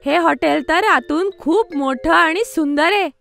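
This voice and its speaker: A high, eager little girl from a children's cartoon, cheerful and sensible like a caring sister. She speaks with music playing behind her. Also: a little slow, creaky, a bit bright, heavily acted. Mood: excited, pleased. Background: background music.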